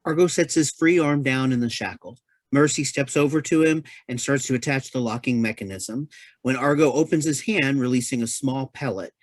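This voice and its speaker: A woman's voice: a bass voice, a little mellow, no room sound. A woman reading stage directions as scripted narration for a play reading.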